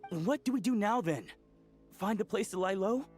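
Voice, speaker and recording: A fretful young researcher in a video game. His voice is high and very animated, agitated and urgent, with music behind it. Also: moderate pace. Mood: anxious, urgent. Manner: agitated, questioning.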